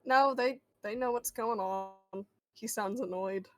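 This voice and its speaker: A woman playing a friendly but guarded boy who hears voices, halting and reassuring, in an improvised tabletop roleplay. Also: fairly high pitch, background noise. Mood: hesitant, guarded.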